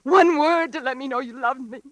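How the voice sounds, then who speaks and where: An actress playing a frightened, guilt-ridden woman in an old radio suspense drama, with a breathless, halting, melodramatic delivery. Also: high-pitched, no echo, natural voice. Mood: desperate confession. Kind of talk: 1940s-1950s radio suspense acting.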